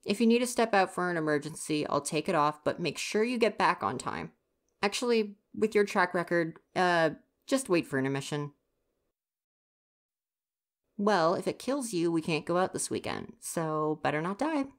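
A woman's voice: lowish voice, expressive, moderate pace. A young woman playing a grumpy, teasing but secretly caring theater stagehand in a casual ASMR roleplay, sarcastic and chatty. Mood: brisk, teasing. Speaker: roleplay voice performer. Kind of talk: ASMR roleplay, casual one-sided dialogue.

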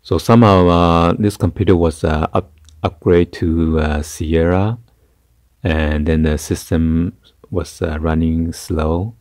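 A man giving a casual hands-on tech tutorial in a halting, hesitant way. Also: deep voice, fairly even intonation, darkish tone, dry recording, studio quality. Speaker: tutorial host. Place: carpeted room indoors.

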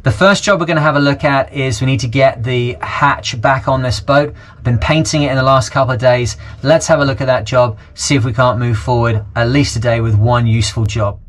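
A man's voice: mid-range voice, steady tone, normal speed. A man in his forties, a sailing vlogger, rambling casually through a tour of boat jobs with many ums, filmed on an action camera at arm's length outdoors in a marina. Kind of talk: casual walkthrough vlog. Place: outdoors on a yacht deck in a marina. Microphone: action camera held at arm's length.

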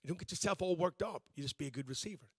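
A man's voice: a little high, very fast, slightly rough, no echo, studio quality. A man, a pastor giving a conversational, self-deprecating sermon with frequent restarts. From Northern Ireland.

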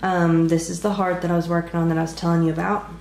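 A woman in her thirties, congested from a cold, casually showing her resin crafts at a home table with a relaxed, rambling manner full of ums. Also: on the low side, quick pace, creaky, echoey room, slight background noise. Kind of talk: casual show-and-tell narration.

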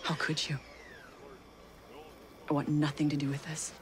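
A woman's voice: sits low, completely flat delivery, unhurried, noisy background. A woman playing a skeptical, principled engineer in a video game, speaking in pointed challenges. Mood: indignant. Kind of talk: video game cutscene acting.

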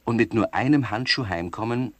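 A man narrating a children's radio play as storyteller, in a clear, measured manner. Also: fairly low pitch, a bit bright, no echo, natural voice. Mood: storytelling. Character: male storyteller of children's tale.

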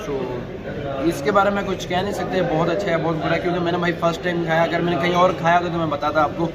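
A man's voice: fairly high pitch, little pitch movement, fast. A young man with a Pakistani accent casually reviewing food as a vlogger, informally mixing in English words. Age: young adult. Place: large indoor restaurant. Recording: loud restaurant chatter behind the voice. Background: busy crowd chatter.

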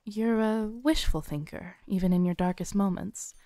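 A woman playing a kindly, knowing tarot reader at a Renaissance faire, speaking softly, smoothly and soothingly to the listener in an audio roleplay. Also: mid-range voice, no echo, natural voice. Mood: intrigued, knowing. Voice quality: smooth, soft. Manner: unhurried, soothing, theatrical, with dramatic pauses. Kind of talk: soft-spoken audio roleplay addressed to the listener. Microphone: close studio mic, dry.

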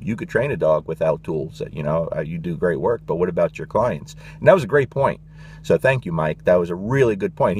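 Middle-aged male dog trainer, low voice, emphatic and blunt, casual swearing, faint hum behind. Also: a little mellow. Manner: passionate, emphatic, repetitive with frequent "you know" and "guys". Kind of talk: direct-to-camera vlog rant.

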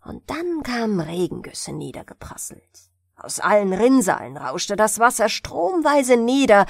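A woman with a fairly high voice reads a scripted fairy tale for bedtime listening. Her delivery is slow and measured, with expressive, widely moving intonation. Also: no room sound, clean studio sound. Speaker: audiobook narrator.

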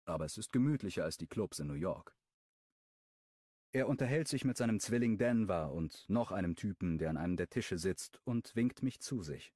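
Expressive male audiobook narrator, low voice. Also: breathy edge, dry recording, studio quality. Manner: expressive, storytelling delivery. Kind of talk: first-person romance audiobook narration.

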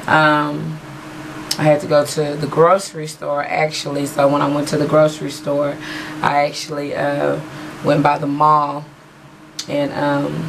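A hoarse woman, chatting casually and rambling with many fillers as a beauty vlogger. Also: sits low, noisy background. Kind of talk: casual haul video chat. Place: small room at home, patterned backdrop. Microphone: webcam in front of her.

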